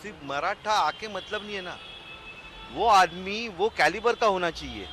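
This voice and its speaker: Man, street interviewee, high voice, restarts often, heavy city noise behind. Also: lively intonation, a little slow, pushed and bright. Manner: hesitant, restarting, emphatic.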